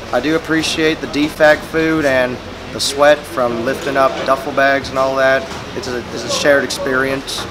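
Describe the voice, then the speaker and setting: Young male recruit, relaxed and earnest in an interview, recorded in a noisy barracks room with humming air conditioning. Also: mid-range voice. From California, USA. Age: young adult. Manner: relaxed, earnest, with fillers and restarts. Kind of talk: casual interview answers. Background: air conditioning and room noise.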